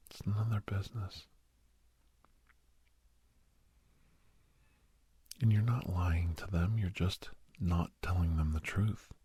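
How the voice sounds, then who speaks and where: A man playing a doctor who counsels a young person in an ASMR roleplay, mostly whispering in a slow, breathy, reassuring but firm manner. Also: low-pitched, darkish tone, dry recording. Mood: reassuring, frank. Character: male doctor gently counselling a young werewolf for college.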